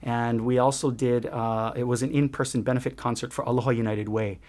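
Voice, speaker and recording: A man's voice: medium pitch, fairly even intonation, brisk, a little mellow. Middle-aged man from Hawaii, mid-range voice, earnest interview guest, restarts often. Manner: earnest, with frequent restarts and fillers. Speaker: guest, ukulele shop owner. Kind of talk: conversational interview.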